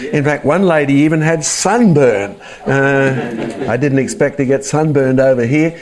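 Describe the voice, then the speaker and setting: A man's voice: medium pitch, unhurried, a little mellow. A man with a mild Australian accent giving a casual, joking church talk to an audience. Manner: chatty, joking asides to the audience. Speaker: creationist speaker.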